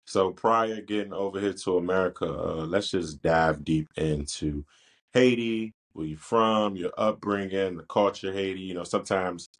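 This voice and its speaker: A man from Washington, D.C. with a very deep voice, hosting a casual interview podcast. He talks in an easygoing way and laughs easily as he chats with his guest. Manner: easygoing, laughs often, quick. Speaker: podcast host.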